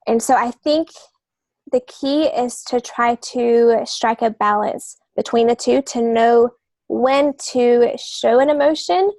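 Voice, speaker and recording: A woman's voice: on the high side, takes it slowly, a little mellow, no echo. A woman co-hosting a podcast, explaining earnestly in casual talk. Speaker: podcast co-host, author.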